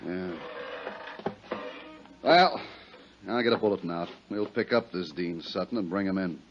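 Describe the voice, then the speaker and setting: An American actor playing a plain-spoken, puzzled but sympathetic harbor police inspector in a 1950s radio drama. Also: male voice, low voice, measured pace, faint music behind. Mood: resigned, concerned. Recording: muffled 1950s radio recording.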